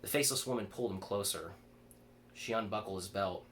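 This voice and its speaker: Low-voiced man reading horror fiction, background noise. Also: brisk, fairly bright tone. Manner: stumbles and rereads words. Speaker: author reading own fiction aloud.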